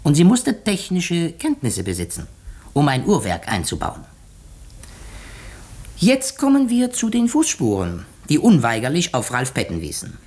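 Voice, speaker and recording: An actor playing a shrewd, methodical detective in a 1950s radio play, explaining deliberately with dramatic pauses. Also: male voice, on the high side, huge pitch swings, a little mellow, husky, full character voice. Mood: pointed, accusing. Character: shrewd, methodical male detective unmasking a murderer.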